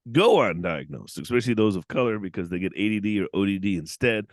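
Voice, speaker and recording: A man's voice: fairly low pitch, lively intonation, fast, dry recording, clean studio sound. A man hosting a casual podcast, joking around, teasing his guest with crude insults and laughing often. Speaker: podcast host.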